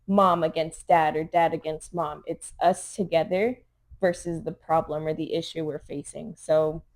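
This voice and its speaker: A woman's voice: low voice, fairly even intonation, moderate pace. A woman from Los Angeles, a mother of three, co-hosting a casual podcast and talking in an earnest, conversational way full of "like" and "you know". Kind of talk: casual chat.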